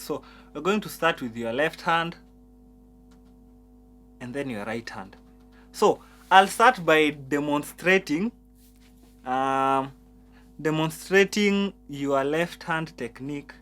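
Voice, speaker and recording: A man's voice: mid-range voice, a bit bright. A man teaching keyboard settings step by step, often repeating words as he talks. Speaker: piano instructor. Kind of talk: keyboard tutorial. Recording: keyboard music behind voice.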